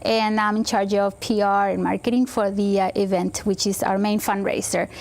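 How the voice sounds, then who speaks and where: A woman's voice: medium pitch, flat delivery, normal speed, a little mellow. A woman from El Salvador, a community organizer, answering interview questions in a friendly way with many hesitations. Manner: friendly, hesitant with many fillers, laughs often.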